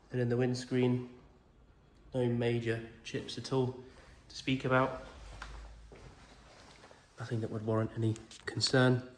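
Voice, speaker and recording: Man with a cold, echoey outdoor recording. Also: mid-range voice. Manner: plain, methodical and reassuring. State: has a cold. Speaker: car salesman. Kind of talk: walk-around vehicle video. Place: outdoors around a vehicle. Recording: echoey, with faint noise.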